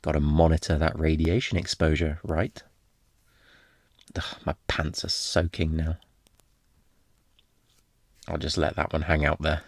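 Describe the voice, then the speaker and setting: Man playing a cocky flirtatious thief, low-voiced. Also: completely flat delivery, unhurried, pauses often, no echo, exaggerated voice. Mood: sarcastic, cheeky. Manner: teasing, theatrical, sarcastic, swooping into mock quotes. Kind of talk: ASMR roleplay acting.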